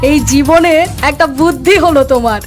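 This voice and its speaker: A woman voicing a sharp-tongued village wife and mother in a cartoon, with expressive, theatrical delivery. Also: high-pitched, brisk, faint music behind, natural voice. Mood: pleased. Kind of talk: cartoon voice acting.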